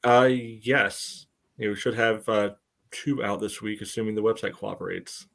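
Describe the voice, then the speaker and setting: A man's voice: on the low side, steady tone, a little slow, vocal fry, a bit bright. A man co-hosting a podcast in casual sports chat, often saying "you know" as he goes. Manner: peppers speech with "you know". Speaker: podcast co-host.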